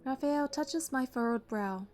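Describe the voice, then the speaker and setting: An actress playing a devoted, protective young bodyguard heroine in a romance game, telling her story in an earnest, measured way. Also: sits high, a little mellow, soft background music. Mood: tender, narrating. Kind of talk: romance game narration and dialogue.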